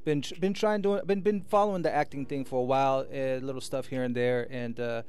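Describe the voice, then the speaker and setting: A man's voice: mid-range voice, brisk, darkish tone, clear, resonant tone. An American man from Sacramento talking casually and earnestly as a radio interview guest, rambling and often checking "you know what I mean". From Sacramento, California, USA. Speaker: guest musician and promoter. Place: radio station studio.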